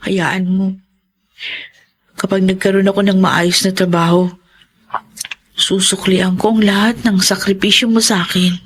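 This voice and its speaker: Humble young student sister. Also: female voice, mid-range voice, little pitch movement, measured pace, pauses often, slightly rough. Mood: apologetic, grateful. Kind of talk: Tagalog radio drama acting.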